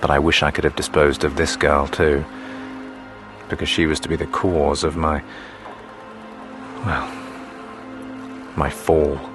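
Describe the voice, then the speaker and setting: Fallen official, drama narration, very deep, subdued intonation, reflective, rueful, music behind. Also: male voice, level tone, measured pace, long pauses. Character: brooding fallen male official narrating his past, rueful.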